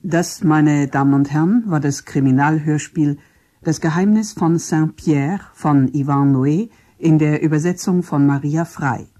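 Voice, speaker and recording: A radio announcer reading scripted drama credits in a formal, deliberate manner. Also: mid-range voice, mellow.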